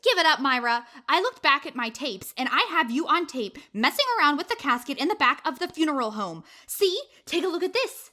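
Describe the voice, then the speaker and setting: A woman's voice: high voice, lively intonation, fairly quick, few pauses, bright, forceful tone. A woman playing a brash, self-important young amateur video detective in an audio drama, bragging and accusing theatrically. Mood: smug, accusing.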